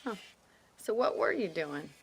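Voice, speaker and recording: American ranch gal in a western game, wry, teasing, blunt, normal pitch, bit of noise behind. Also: female voice, sing-song, measured pace, poor audio. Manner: teasing, frank, sardonic. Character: brisk, wry, no-nonsense young woman rancher. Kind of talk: video game voice acting.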